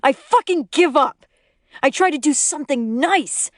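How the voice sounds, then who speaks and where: A woman's voice: a little high, a little slow, no room sound. A woman narrator voices a young husband in an audiobook drama. He is exasperated and pleading, pressing his words with emphasis. The recording is close and dry. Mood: fed up. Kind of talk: acted audiobook dialogue.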